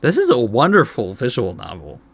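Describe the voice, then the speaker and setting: A man's voice: medium pitch, huge pitch swings, normal speed, a bit bright, husky, dry recording. A man hosting a casual let's play, reading story text aloud and reacting in an informal, laid-back way. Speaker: gaming video host.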